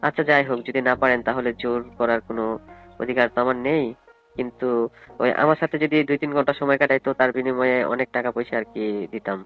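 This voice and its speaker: Wealthy male client played by a man, quick, hesitant yet persuasive delivery, tinny phone sound with faint music. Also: mid-range voice, fairly even intonation, natural voice. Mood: insistent, persuasive. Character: wealthy male event client, politely insistent, propositioning.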